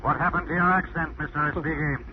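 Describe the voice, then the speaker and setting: A sinister invisible crime fighter, played by a man in a 1940s radio thriller. His voice is fairly high, deliberate and mocking, on a dull, hissy broadcast. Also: steady tone, close to an everyday voice. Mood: mocking, commanding. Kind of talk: 1940s radio drama acting.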